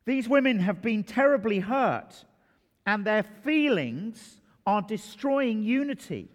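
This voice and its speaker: A man's voice: sits high, expressive, slow. A man preaching a sermon in a church, telling stories and stressing key phrases with emphasis. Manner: storytelling, emphatic. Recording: long hall reverb.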